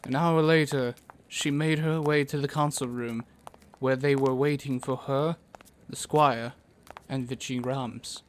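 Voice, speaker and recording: Male narrator, medium pitch, scripted fantasy storytelling. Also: steady tone, unhurried, a little hiss or hum.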